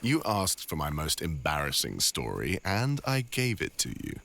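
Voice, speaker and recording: A man with a deep British voice playing a devoted, teasing older boyfriend and father in an intimate ASMR audio roleplay. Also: wildly swooping pitch, normal speed, gravelly, a bit bright, full character voice. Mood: amused, teasing.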